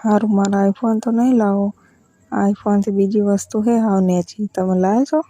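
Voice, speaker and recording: A woman's voice: medium pitch, fairly even intonation, moderate pace, mellow, lightly acted. A woman with a Gujarati accent playing a demanding village in-law who wheedles insistently in a casual phone conversation.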